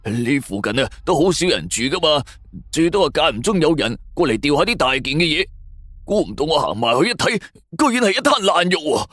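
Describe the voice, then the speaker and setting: A narrator, a man, puts on a timid railway worker, pitched up and lively. He is honest and badly shaken, rambling nervously in a suspense audio drama. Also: fairly quick, no room sound, studio quality. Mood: frightened, shaken.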